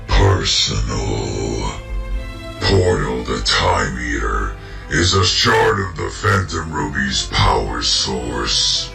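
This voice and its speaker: A man's voice: very deep voice, gravelly, pushed and bright, heavily acted. A man voicing a brooding, menacing masked villain creature in a grave, formal manner for a comic dub. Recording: roomy echo, music underneath. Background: background music.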